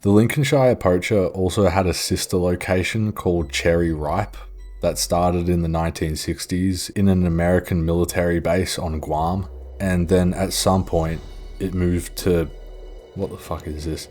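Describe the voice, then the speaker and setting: Young aussie guy, really deep voice, casual sweary narration, bit of music behind. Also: steady tone, unhurried, darkish tone. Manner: informal, sweary, self-deprecating. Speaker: video narrator. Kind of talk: casual documentary narration with asides. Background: background music.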